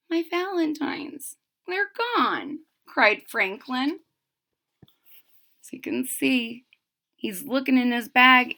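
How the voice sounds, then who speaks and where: Female children's storybook reader: unhurried, bright, expressive, with explanatory asides. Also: medium pitch.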